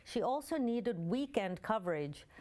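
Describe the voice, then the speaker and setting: A woman in her fifties hosting a show, presenting a scripted introduction in a clear, measured way. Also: medium pitch, very animated, a little mellow. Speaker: show host. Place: studio with dark curtain.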